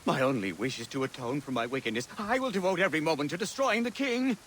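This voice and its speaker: An aging statesman begs in a courtly, well-spoken way. His voice is bright and full of movement. Faint noise runs underneath. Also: a little high, lively intonation, fast. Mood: pleading. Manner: courtly, pleading, eloquent. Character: older male statesman, repentant, persuasive.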